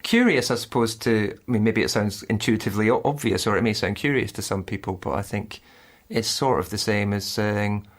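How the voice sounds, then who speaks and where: A man's voice: on the low side, fairly quick, hardly any pauses. A man hosting a podcast, thinking aloud and restarting sentences as he searches for words. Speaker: podcast host. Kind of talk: conversational podcast interview.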